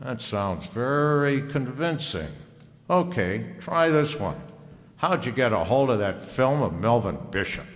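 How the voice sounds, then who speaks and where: A man's voice: medium pitch, big pitch swings, measured pace, big-room sound. A man acting a hard-boiled private detective in an old-time radio drama, wry, slangy and unflappable. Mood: sardonic, pressing.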